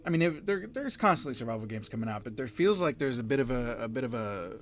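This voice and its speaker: A man hosts a casual podcast in a voice of ordinary, middling pitch. He rambles, circles back and corrects himself, often breaking into laughter. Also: sing-song, quick pace, soft background music. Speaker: podcast host.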